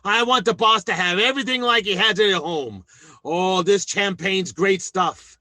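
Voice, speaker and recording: A man's voice: high voice, moderate pace, sharp tone, no room sound. An actor playing a blustering, eager family man in an old-time radio comedy, with a flustered, bumbling way of talking. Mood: excited, bossy.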